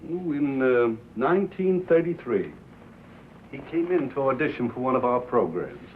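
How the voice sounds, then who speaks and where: An actor playing a prim, earnest American small-town radio station owner, polite, literal-minded and hesitant, in a 1950s film drama. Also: male voice, mid-range voice, very animated, moderate pace, natural voice. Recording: dull noisy 1950s film soundtrack.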